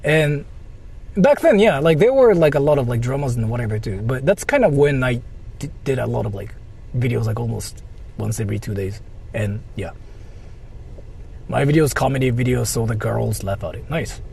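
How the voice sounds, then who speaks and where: A man's voice: medium pitch, lively intonation, moderate pace, gravelly, breathy edge. A man chatting casually on a livestream, rambling hesitantly and repeating himself. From Japan. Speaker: live streamer. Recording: loud car and street noise.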